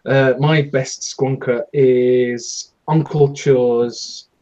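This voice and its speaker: A man's voice: medium pitch, fairly even intonation, very slow, mellow, highs cut off. A British man co-hosting a casual panel chat show. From United Kingdom. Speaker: co-host of a vaping talk show.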